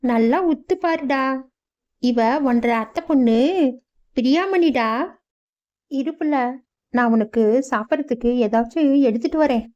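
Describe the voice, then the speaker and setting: Woman voicing bossy village mother, cartoon, scolding, high voice, lo-fi. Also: a little slow, pauses often, natural voice. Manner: scolding, shouting to her son. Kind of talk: cartoon voice acting.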